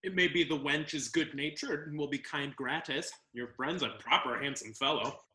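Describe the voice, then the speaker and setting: Actor as a bright, echoey, smug gentleman gamester. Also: on the high side, normal speed. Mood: jovial, curious. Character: smug, good-humoured English gentleman gamester. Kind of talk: Restoration comedy stage acting.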